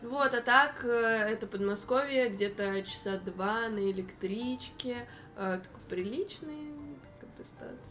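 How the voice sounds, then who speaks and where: A woman's voice: a little high, a little slow, fairly bright tone, soft background music. A young woman chatting on a stream from a living room, telling stories and laughing easily. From Russia. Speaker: stream co-host. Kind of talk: casual chat.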